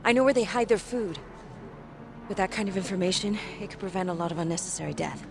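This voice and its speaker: A bold young female reporter, voiced by an actress, talks quickly and insistently over clear background noise. She is businesslike and persuasive, in video game cutscene style. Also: mid-range voice, natural voice. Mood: determined, persuasive.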